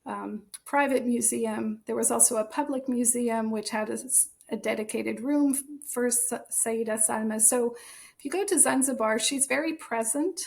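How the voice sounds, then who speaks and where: An American woman, a guest on an interview podcast, answering in a thoughtful, measured way. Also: a little high, gravelly. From born in Germany, raised in the United States. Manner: thoughtful, measured, with occasional soft laughs. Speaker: guest, author and translator.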